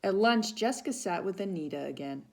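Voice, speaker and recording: A woman reading a children's story aloud with animated narration and small asides to young listeners. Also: mid-range voice, fairly quick, gravelly. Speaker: volunteer storybook reader.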